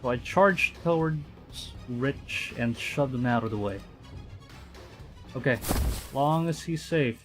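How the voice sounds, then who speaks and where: A man doing live game commentary, excitable, reading story text aloud and muttering phrases over to himself. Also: medium pitch, slow, pauses often, over music. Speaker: streamer.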